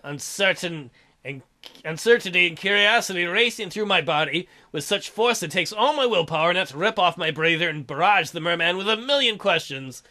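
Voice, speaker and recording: A man's voice: sits high, expressive, normal speed, bright, forceful tone. A man reading visual novel text aloud as a let's play host, with irreverent, sarcastic asides. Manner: irreverent, crude, sarcastic commentary.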